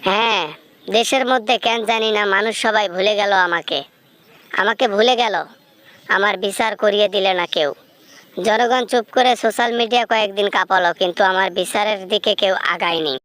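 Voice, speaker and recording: A woman's voice: mid-range voice, a little fast, a bit bright, clear, resonant tone, dry recording, lightly acted. Woman playing a bitter wronged female ghost. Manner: reproachful, solemn, threatening. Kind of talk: amateur dramatic phone-call skit.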